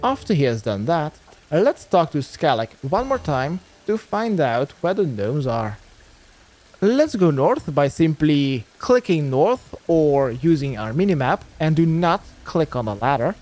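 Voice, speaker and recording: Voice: mid-range voice, a little mellow. A game guide narrator giving step-by-step walkthrough instructions and addressing the viewer directly. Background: music.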